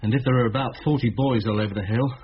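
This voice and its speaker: A man's voice: medium pitch, fairly even intonation, quick pace, slightly rough, natural voice. An actor playing a thoughtful man puzzling out a crime in a radio drama, musing and inquisitive. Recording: dull, band-limited radio drama recording.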